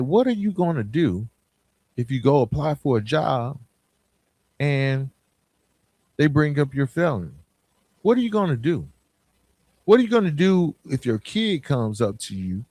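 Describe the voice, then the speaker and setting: A man's voice: mid-range voice, a little mellow, no echo. An American man hosting a podcast, speaking in a casual motivational monologue, emphatic and colloquial, putting questions straight to the listener. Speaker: podcast host.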